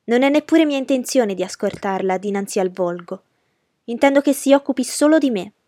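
A woman's voice: on the high side, expressive, a little fast, mellow, no room sound, clean studio sound, voice pushed up, natural voice. A woman voicing an arrogant, spoiled young lady with an impatient, commanding manner, in an audiobook story. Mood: haughty, impatient. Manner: haughty, commanding.

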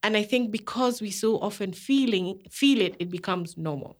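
A woman psychologist speaking thoughtfully on a podcast, with many pauses and fillers. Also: medium pitch. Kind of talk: casual podcast conversation.

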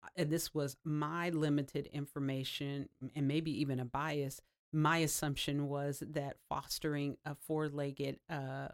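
A woman's voice: sits low, little pitch movement, unhurried. A woman from the United States hosting an interview, affirming her guest often and talking in long winding turns. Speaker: interview host.

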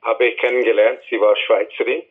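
Man from India, husky voice, halting speech, tinny phone line. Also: medium pitch, fairly even intonation. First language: Hindi, English. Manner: halting, with restarts. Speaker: musician guest. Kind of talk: interview over the phone.

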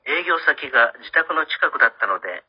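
A man with a husky voice narrating an amateur radio drama as a storyteller. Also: medium pitch, big pitch swings, brisk. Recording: muffled, lo-fi.

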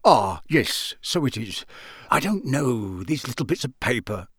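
A man's voice: mid-range voice, very animated, measured pace, a bit bright, slightly rough, dry recording, clean studio sound, heavily acted. A man voicing a mild, cheerful wizard father in an audiobook, polite, hesitant and innocently puzzled. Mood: flustered.

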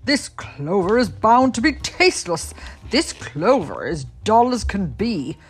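Woman as a greedy rat bandit, loud and gloating, slow sing-song threats, soft music behind. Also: very animated, breathy edge. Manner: booming, gloating, drawn-out chant. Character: greedy bullying male rat highwayman.

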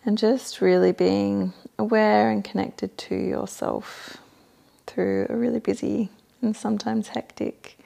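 Creaky, mellow woman guiding meditation. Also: medium pitch. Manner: soothing, gentle instructional delivery. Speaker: guided meditation facilitator. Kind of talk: guided meditation.

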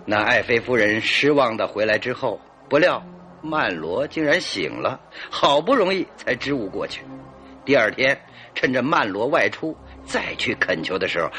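A man's voice: medium pitch, pushed and bright. An actor playing a confident, self-satisfied detective in a radio drama, theatrical and emphatic as he expounds his theory.

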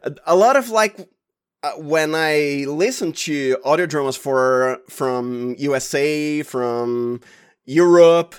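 A man's voice: fairly high pitch, slow, smooth. A man from Brazil with a Brazilian accent, a native Portuguese speaker, talking casually as an interview guest and often restarting his phrases. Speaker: guest, podcast producer and sound designer.

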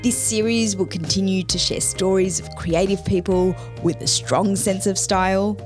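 A woman host reading a scripted show introduction in a measured, polished way. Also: medium pitch, steady tone. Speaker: podcast host and stylist. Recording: studio voice-over over guitar music. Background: guitar music.

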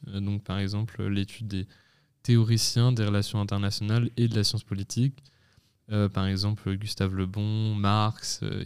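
A young man in his twenties, a student guest on a podcast, explaining things in a measured way with many pauses and self-corrections. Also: fairly low pitch, a little mellow, clean tone. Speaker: guest, student association president. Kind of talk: casual podcast talk.

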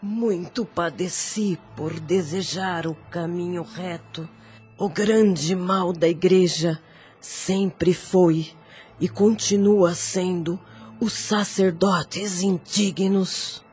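Very husky old Mother Superior. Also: fairly low pitch, fairly even intonation, a little mellow, faint music behind, full character voice. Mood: grave, pained. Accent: Brazilian. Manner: grave, deliberate, counselling. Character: venerable, prudent, long-suffering Mother Superior. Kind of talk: radio drama acting.

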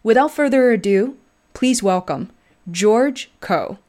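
A woman hosting her own podcast, with a fairly high voice. She reads her introduction from a script and then turns to easy, encouraging conversation with her guest. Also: lively intonation, takes it slowly, over loud music. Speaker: podcast host.

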